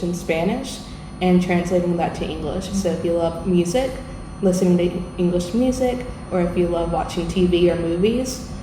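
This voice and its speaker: A young woman from the United States, a language assistant, answering interview questions in a friendly, earnest way. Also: lowish voice. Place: school room. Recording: echoey room with background noise.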